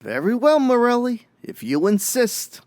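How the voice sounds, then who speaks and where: A man playing a calm, commanding, ruthless crime boss in an old-time radio drama. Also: very high voice, a little slow, very noisy, exaggerated voice. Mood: mock regretful. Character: smooth, ruthless male crime boss of a gang.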